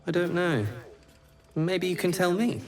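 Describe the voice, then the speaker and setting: A man's voice: sits high, measured pace, gravelly, faint music behind. An actor playing a loyal, wistful male dog in a video game, speaking simply and earnestly.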